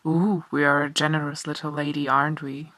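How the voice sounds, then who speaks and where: A woman's voice: sits low, level tone, a little fast, a bit bright. A woman playing a loyal, protective teenage boyfriend in an audio drama. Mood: teasing.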